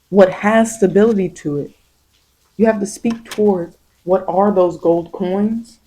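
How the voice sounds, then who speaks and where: A woman's voice: medium pitch, a little mellow, low-quality recording. A woman improvising spiritual tarot guidance straight to the listener, encouraging and repeating phrases for emphasis. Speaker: tarot reader and ASMR host.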